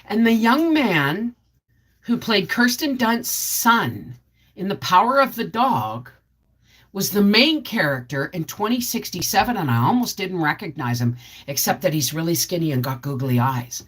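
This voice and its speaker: A woman's voice: on the low side, big pitch swings, unhurried, husky. A middle-aged woman chatting casually on a live stream, rambling and answering viewer comments as she goes. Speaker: homestead channel host.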